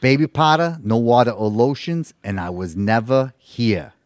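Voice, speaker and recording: A man playing a shady, no-nonsense tattoo artist in an audio comedy, deadpan and businesslike. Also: medium pitch, moderate pace, no room sound, studio quality, close to an everyday voice. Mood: curt.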